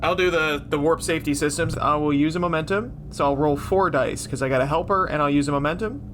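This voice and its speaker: A man's voice: on the high side, fast, noisy background. A man playing a tabletop role-playing game, chatting casually about his rolls.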